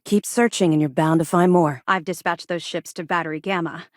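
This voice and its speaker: Low-voiced, disciplined female space officer played by an actress. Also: steady tone, fairly quick, dry recording, clean studio sound, lightly acted. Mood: businesslike. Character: disciplined, earnest female space-navy officer, former pirate crew. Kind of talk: video game character dialogue.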